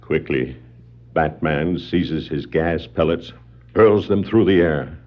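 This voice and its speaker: A man narrating a scripted superhero drama in 1960s radio style, suspenseful, with deliberate dramatic pauses. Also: extremely low pitch. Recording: dull 1960s vinyl record sound.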